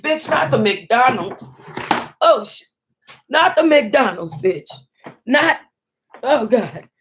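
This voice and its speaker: Female, American, higher-pitched. Book club livestream host, casual animated read-aloud with blunt, salty reactions.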